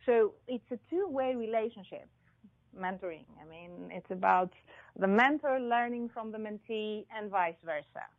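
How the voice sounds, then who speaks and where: A woman from Greece, a guest in an interview, talking slowly and thoughtfully with many pauses and fillers. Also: medium pitch, phone-quality sound. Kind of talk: interview conversation.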